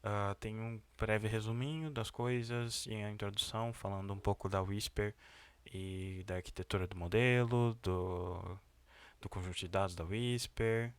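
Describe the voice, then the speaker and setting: A young man with a very deep voice talks through his research project in a loose, casual way. He hesitates often, fills pauses and restarts his sentences. The sound is close and completely dry, with no room around it. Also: fairly even intonation, clear, resonant tone, dry recording. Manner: hesitant, many fillers and restarts. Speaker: student presenting a research project. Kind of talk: casual screen-share walkthrough.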